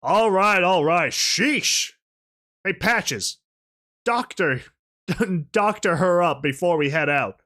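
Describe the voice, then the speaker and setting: A narrator putting on a loud, gruff thug boss pony. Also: mid-range voice, animated, takes it slowly, voice pushed up. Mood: bossy. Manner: slangy, drawling street-tough delivery. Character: gruff thuggish boss pony, male, big, coarse and callous. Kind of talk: audio storybook character voice.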